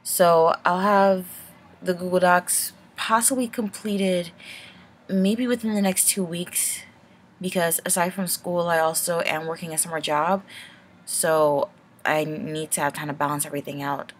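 A woman's voice: fairly low pitch, fairly even intonation, measured pace, a little hiss or hum. A young woman giving a casual, rambling channel update in a hesitant, apologetic, nervous way. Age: young adult.

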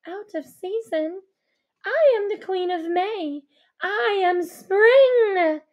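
A woman's voice: very high voice, slow, highs cut off. A woman voicing a volatile, imperious female spring spirit queen who speaks in haughty, archaic phrases. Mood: wrathful.

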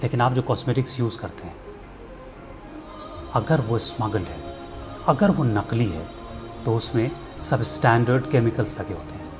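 A middle-aged man, presenting a scripted address to camera in an earnest, persuasive manner rhetorical questions. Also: medium pitch. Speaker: filmmaker and storyteller presenting a commentary segment. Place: indoor studio set. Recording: music bed underneath.

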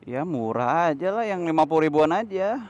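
A man with a Jakarta accent chats casually as he vlogs from a motorbike. His voice sits a little high and his talk is slangy and joking. Loud outdoor traffic noise runs behind him. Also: animated, normal speed. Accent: Jakarta Indonesian. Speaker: motovlog host. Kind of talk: casual riding vlog chat. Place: outdoors on a motorbike in city streets and forecourts. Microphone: lavalier worn inside a motorcycle helmet.

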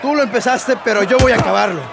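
High, menacing brawler, roaring crowd. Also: male voice, rapid-fire, vocal fry. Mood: taunting, furious. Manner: aggressive, shouted taunts. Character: menacing, taunting male Latin prison brawler. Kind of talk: video game combat barks. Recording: loud crowd and fight noise.

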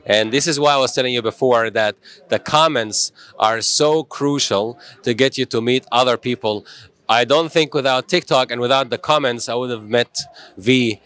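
A man's voice: medium pitch, background noise. Upbeat motivational man hosting a stream. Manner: upbeat, motivational, thanks commenters. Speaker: host. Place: small indoor studio.

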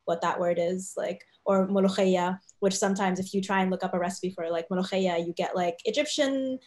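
A woman's voice: medium pitch, a little fast, darkish tone. A woman moderating a panel over a video call, talking chattily with many fillers.

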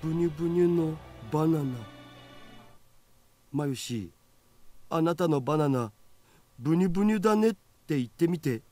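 A man voicing a blunt, lecherous young otaku geek in a visual novel, slangy and excitable. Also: on the high side, fast, long pauses, faint music behind, lightly acted. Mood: eager, lecherous. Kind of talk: Japanese visual novel voice acting.

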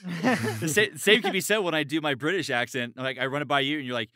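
A man with a bright, forceful voice hosts a casual podcast chat. He is chummy, circles back over his phrases, and sounds dry and close. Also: fairly high pitch, brisk, no room sound. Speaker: podcast host, voice actor.

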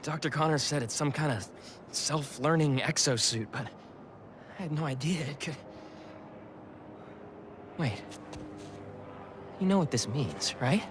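An actor playing an excitable, earnest young man with new superpowers in a video game, talking in a casual, boyish way over music. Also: on the high side, measured pace, pauses often, background noise, close to an everyday voice. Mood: excited, awed. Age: young adult. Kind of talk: video game cutscene acting. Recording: music behind the dialogue.

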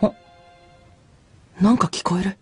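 An actor playing a brash, boyish young dog-like hunter in a Japanese radio drama, with casual, rough speech. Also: unhurried, over music, close to an everyday voice. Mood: musing, hesitant.

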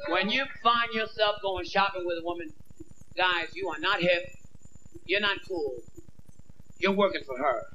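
A man's voice: high voice, a little slow, pauses often. A man in his thirties doing a brash stand-up routine on a theater stage into a handheld mic, acting out bits of dialogue. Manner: brash, acts out voices of women and himself. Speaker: stand-up comedian. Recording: dull lo-fi VHS tape with hiss.

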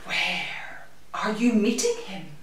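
A sinister storybook snake, as a woman puts it on, stretching its words out extremely slowly. It half-whispers with a soft, breathy lure, heard from off in a very echoey room. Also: medium pitch, lively intonation. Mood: sly. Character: slow, sinister snake, luring with soft hisses. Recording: echoey room, distant microphone.

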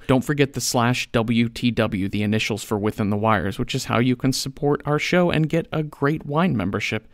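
A man's voice: mid-range voice, dry recording, clean studio sound. A man reading a podcast sponsor ad in a casual, wry, conversational way. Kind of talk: conversational scripted ad read.

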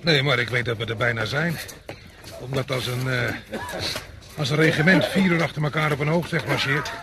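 An actor playing a marching soldier in a radio drama, insistently reasoning things out. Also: male voice, mid-range voice, normal speed, natural voice. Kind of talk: Dutch radio drama acting. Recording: noisy radio play recording.

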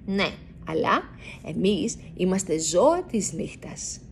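A woman voicing a kindly female bat who explains things calmly. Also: lowish voice, vocal fry, a little hiss or hum. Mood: explaining. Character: kindly female bat, calm night creature.

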